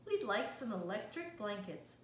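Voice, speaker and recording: A woman voicing courteous but stubborn cows from a picture book, reading out their words in a measured, sing-song way. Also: medium pitch, sing-song, recorded from a distance, close to an everyday voice. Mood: polite request. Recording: roomy echo, faint background noise.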